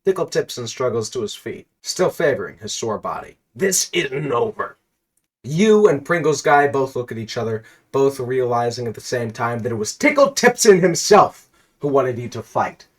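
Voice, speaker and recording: A man's voice: medium pitch, very animated, moderate pace. A man telling a comedic story in the second person with dramatic narration.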